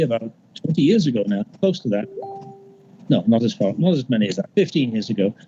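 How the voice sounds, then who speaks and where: A man from Liverpool with a Liverpool accent, a poet telling the story behind his poem and then reading it on a video call, reflective and unhurried. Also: medium pitch, mellow, highs cut off, a little hiss or hum. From Liverpool, England.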